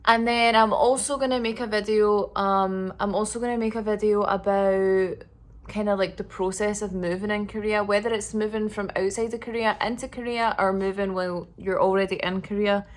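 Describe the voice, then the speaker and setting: A woman vlogging a casual apartment tour indoors, talking in a monotonous, relaxed way with lots of fillers. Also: mid-range voice, normal speed. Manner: monotonous, flat delivery; relaxed, filler-heavy talk.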